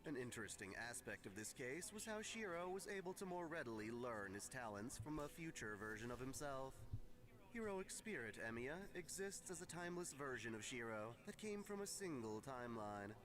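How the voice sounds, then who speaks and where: A man narrating a scripted lore lesson in a measured, formal lecturing manner. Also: mid-range voice, lively intonation, rough recording. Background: music.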